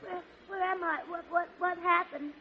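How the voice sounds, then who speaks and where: A woman's voice: very high-pitched, steady tone, moderate pace. An actress playing a comic swooning female fan in a 1940s radio comedy. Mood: dazed. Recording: dull, noisy 1940s radio recording.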